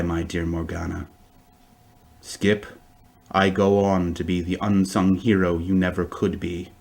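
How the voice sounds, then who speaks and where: Casting: grandiose sci-fi radio villain, low husky voice, solemn theatrical oratory, bent on revenge. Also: male voice, darkish tone, full character voice. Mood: bitter, vengeful. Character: vengeful, grandiose male time-travelling villain delivering doom monologue. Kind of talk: audio drama acting.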